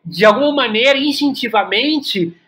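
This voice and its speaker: A man's voice: extremely high pitch, animated, moderate pace, pushed and bright. A man with a Brazilian accent in a reflective interview, speaking as a guest playwright and often repeating words while he thinks aloud.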